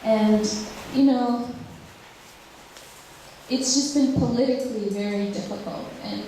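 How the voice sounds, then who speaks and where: A woman's voice: on the high side, a little slow, warm tone, slight background noise. Woman, drawing teacher, hesitant, full of ums, strong hall echo, far from the mic. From Ukraine. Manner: hesitant, many fillers and restarts. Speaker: guest speaker, drawing teacher. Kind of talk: Q&A answers at a public talk.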